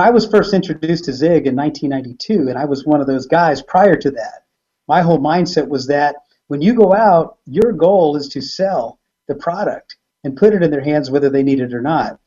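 A man being interviewed as a sales trainer, earnest and measured. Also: a little high, mellow, dull audio. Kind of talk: interview answer.

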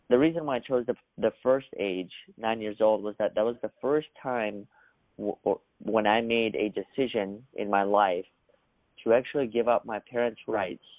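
A young man from Russia, a podcast guest telling his story in a measured, earnest way. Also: fairly low pitch, no echo. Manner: measured, earnest, occasional restarts. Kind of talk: reflective storytelling. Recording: dry, phone-like narrow sound.

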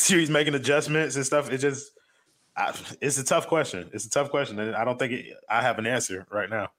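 A man's voice: mid-range voice, a bit bright. A man speaking as a panelist in a casual sports discussion.

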